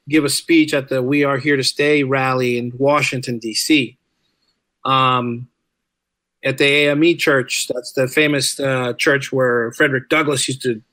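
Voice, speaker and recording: A man's voice: on the high side, bright, forceful tone, dry recording. A middle-aged man telling stories casually as a podcast guest, chatty and informal, repeating phrases for emphasis. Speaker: podcast guest, teacher and writer. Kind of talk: casual conversational storytelling.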